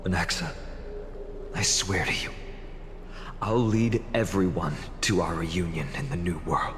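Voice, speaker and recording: An actor playing an earnest, solemn young hero in a video game, speaking in a grave, measured manner. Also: male voice, low-pitched, background noise. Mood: solemn resolve. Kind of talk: dramatic video game voice acting. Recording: long reverb, music behind. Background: music.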